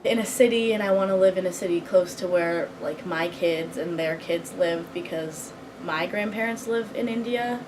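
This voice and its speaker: A woman's voice: lowish voice, normal speed, hardly any pauses. A young woman from Boston answering interview questions casually, with lots of informal filler words like "like". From Boston, United States. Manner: informal, peppered with "like". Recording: clear room hum, air conditioning.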